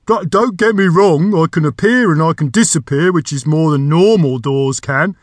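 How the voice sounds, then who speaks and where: A man voicing a talking magic door from a storybook, a gruff, self-important gatekeeper with a booming gravelly voice. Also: moderate pace, no room sound, studio quality, lightly acted. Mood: chatty, rambling. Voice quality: deep, gravelly.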